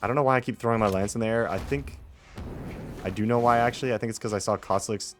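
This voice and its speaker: A man's voice: lowish voice, fast, soft background music. A man giving casual, off-the-cuff commentary on his own game replay in a rambling, wry and self-deprecating way.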